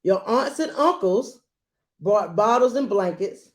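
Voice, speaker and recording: A woman reading a children's picture book aloud expressively and gently, adding small asides as she goes. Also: mid-range voice, lively intonation. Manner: gentle, unhurried, adding commentary to listeners.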